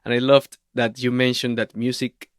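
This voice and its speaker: Brief: Venezuelan-accented male podcast host, smooth and resonant, encouraging, dry close mic. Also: medium pitch, level tone, dry recording. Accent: Venezuelan Spanish. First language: Spanish. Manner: warm, affirming, measured questions. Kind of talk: interview podcast.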